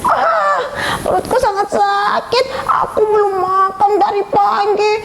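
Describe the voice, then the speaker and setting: A woman's voice: very high voice, fairly even intonation, unhurried, creaky, fairly bright tone, a little hiss or hum, pitched above the performer's own voice, exaggerated voice. A woman voicing a small, young grasshopper that is adventurous and easily frightened, speaking in a fretful, squealing way. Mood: hungry, fretful.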